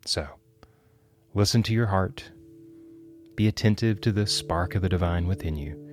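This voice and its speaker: A man hosting a devotional podcast, guiding listeners through scripted contemplation in a gentle, measured, reflective way. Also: low voice. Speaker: podcast host leading guided prayer and scripture contemplation. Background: acoustic guitar music.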